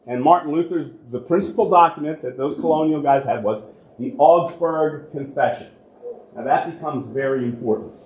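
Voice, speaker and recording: A man's voice: on the high side, normal speed, darkish tone, a little hiss or hum. A man, a church archivist, giving an informal history talk to a congregation, chatting along and posing questions that he then answers himself. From United States. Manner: conversational, asks and answers his own questions. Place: church hall with an audience. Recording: roomy echoing hall.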